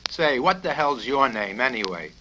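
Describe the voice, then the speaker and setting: A man reading a satirical novel, voicing a bullying, foul-mouthed military colonel who blusters and shouts his questions. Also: mid-range voice, lively intonation, moderate pace, pitched above the performer's own voice. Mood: menacing. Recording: noisy 1970s vinyl record with clicks.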